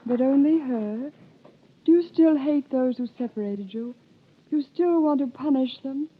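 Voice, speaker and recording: Countess, vintage radio play: high, tender, anguished, formally polite, very narrow tinny sound. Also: female voice, a little slow, husky. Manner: formal, earnest questioning. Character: refined countess, anguished, tender, hiding a lost love. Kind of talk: 1930s radio drama acting. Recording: thin phone-like old broadcast, music behind.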